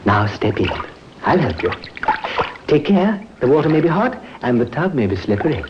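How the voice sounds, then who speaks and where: A man's voice: mid-range voice, very animated, moderate pace, natural voice. Muffled old radio drama, guy playing a sweet-talking schemer husband, theatrical and solicitous, voice swoops around. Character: smooth-talking, scheming husband feigning tender devotion. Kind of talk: old-time radio mystery acting. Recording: dull, noisy old radio recording.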